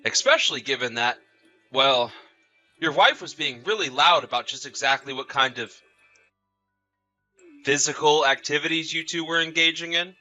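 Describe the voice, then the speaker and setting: A man's voice: on the high side, moderate pace, long pauses, pushed and bright, faint music behind, poor audio. A man playing an awkward neighbor with a complaint in a scripted audio story, hesitant and tactful but insistent. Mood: awkward, reproachful. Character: awkward, tired male neighbor making a complaint.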